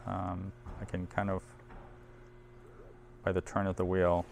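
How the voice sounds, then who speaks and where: A man's voice: low voice, monotone, measured pace, vocal fry, slight background noise. A man presenting audio equipment in a casual hands-on demo, informal and thinking aloud as he handles the machine.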